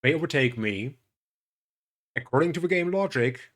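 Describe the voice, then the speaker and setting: A man's voice: medium pitch, normal speed. A man from Germany with a light German accent, casually chatting with viewers while streaming a racing game. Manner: relaxed, chatty, greets and thanks viewers. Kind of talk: casual gaming commentary to chat. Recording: game car noise loud behind voice. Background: racing game engine and traffic sounds.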